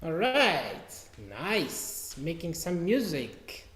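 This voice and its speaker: A man with a Portuguese accent teaching trombone over a video call, speaking in an unhurried, halting way. His voice sits a little high, and the room sounds echoey around him. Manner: relaxed, halting, sings out note syllables to demonstrate. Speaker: trombone teacher giving a masterclass. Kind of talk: online masterclass. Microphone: video call mic.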